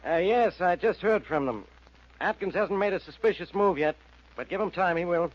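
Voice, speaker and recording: Blustering police inspector, dull recording. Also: male voice, sits high, moderate pace. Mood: businesslike. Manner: gruff, impatient, emphatic. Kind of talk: 1940s radio acting. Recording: dull old radio recording.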